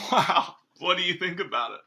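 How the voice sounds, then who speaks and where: A man's voice: sits high, animated, moderate pace, pushed and bright, breathy edge. A man hosting a podcast in casual comedic chat, with a dry, mock-earnest manner. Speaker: podcast host.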